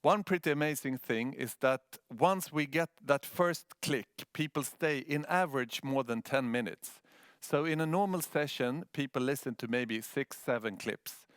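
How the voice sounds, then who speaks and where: A man's voice: medium pitch, a little slow, clear, resonant tone, dry recording, studio quality. A man from Sweden with a Swedish accent giving a prepared presentation as a news editor.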